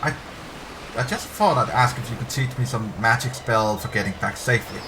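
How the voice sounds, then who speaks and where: A man's voice: medium pitch, normal speed, lightly acted. A man voicing a talkative, uneasy young woman archaeologist in a story read aloud. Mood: uneasy, confiding. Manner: talkative, apologetic, hushed confiding. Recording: clear background ambience noise.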